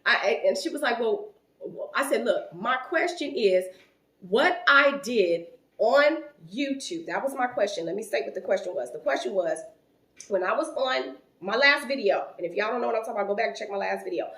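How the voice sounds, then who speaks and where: Lively high-pitched woman in her thirties. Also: moderate pace, reverberant. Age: 30s. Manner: animated, rising loud when quoting an argument. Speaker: YouTuber telling a story. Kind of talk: casual storytime vlog.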